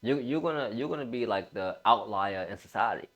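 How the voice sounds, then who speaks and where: A man's voice: lowish voice, lively intonation, fairly quick, fairly bright tone. A man in his late twenties giving a casual motivational talk as a fitness coach, emphatic, repeating phrases and using informal bro slang.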